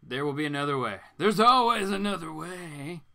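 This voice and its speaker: A man voicing a resolute male crime-fighting detective hero from a comic book, brisk and determined. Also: on the high side, animated, gravelly, bright, forceful tone, heavily acted.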